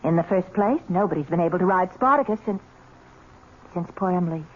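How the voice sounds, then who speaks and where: Breezy bossy young fiancee, teasing, swoopy voice, tinny old radio drama. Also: female voice, fairly low pitch, animated, breathy edge. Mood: chatty. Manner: teasing, brisk, insistent. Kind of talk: 1970s radio drama acting.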